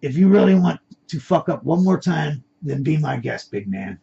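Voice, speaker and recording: A man voicing a menacing male kidnapper in an audio crime story, calm, condescending and commanding. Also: a little high, moderate pace, creaky, slightly rough, heavily acted. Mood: cold, sarcastic. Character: cool, menacing male masked gunman, boss's son. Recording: dull lo-fi recording.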